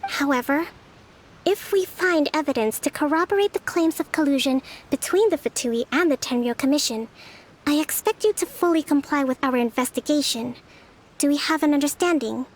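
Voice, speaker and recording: A woman playing a gentle, confident young female leader in a video game, speaking in a measured, articulate, formal yet friendly way. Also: sits high, natural voice. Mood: composed, formal. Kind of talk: video game character dialogue.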